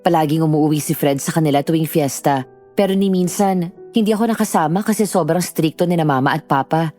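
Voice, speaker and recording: A woman narrating as a character who recounts her own past in measured, scripted first-person storytelling. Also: low-pitched, warm tone, soft background music, close to an everyday voice. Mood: reflective.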